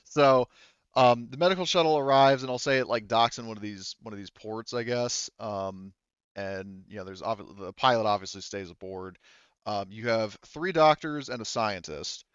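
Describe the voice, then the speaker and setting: A man with a smooth, lowish voice acts as game master, narrating casually and feeling his way through with pauses. The recording is close and dry but a little dull. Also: no room sound.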